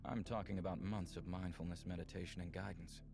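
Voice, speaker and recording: A calm, experienced man guiding a partner in a visual novel. His voice is very deep with a heavy creak. He speaks in a measured, reassuring way, with music clearly playing behind him. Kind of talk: visual novel character dialogue. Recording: music under the voice.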